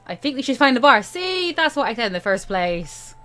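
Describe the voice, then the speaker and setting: A woman's voice: a little high, big pitch swings, a little fast, bright, forceful tone, soft background music. A woman hosting a let's-play, giving casual, chatty game commentary with joking asides.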